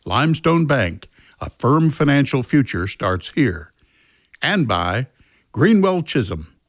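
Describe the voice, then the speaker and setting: A man's voice: medium pitch, no echo, studio quality. A man working as an announcer, reading scripted radio credits and sponsor messages.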